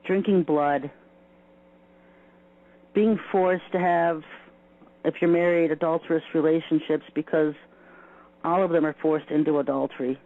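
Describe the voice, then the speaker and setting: Higher-voiced man on dull, lo-fi audio. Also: steady tone, measured pace, pauses often. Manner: emphatic, lists in short phrases. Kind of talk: informal spoken exposé.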